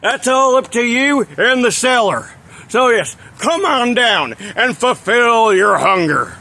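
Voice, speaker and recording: Man doing a shrill, dragging mock-ad huckster with a sleazy pitch. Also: lively intonation, takes it slowly, creaky, bright, forceful tone, heavily acted. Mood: salesy. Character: slow, high-voiced man pitching a brewery. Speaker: ad reader.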